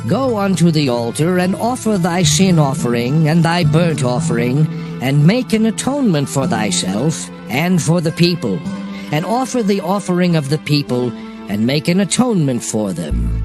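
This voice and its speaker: An actor playing a solemn, commanding biblical prophet and leader in a dramatized scripture reading, with a declamatory delivery. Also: male voice, fairly high pitch, very animated, normal speed. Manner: solemn, declamatory, archaic phrasing. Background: music.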